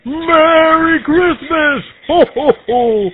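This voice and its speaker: Jolly old christmas gift-giver, high and slow, hearty ho-ho-ho, tinny phone line. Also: male voice, no echo, heavily acted. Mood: jolly, doting. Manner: drawn-out, hearty ho-ho-ho delivery. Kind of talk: pre-recorded prank call acting.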